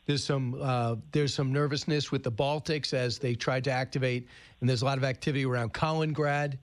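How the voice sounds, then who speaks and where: A man's voice: mid-range voice, steady tone, a little fast. This is a man hosting a current-affairs radio interview. His voice sits in the middle of the male range. He speaks conversationally, with casual, sporty phrasing as he frames his questions. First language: English. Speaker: radio show host and interviewer.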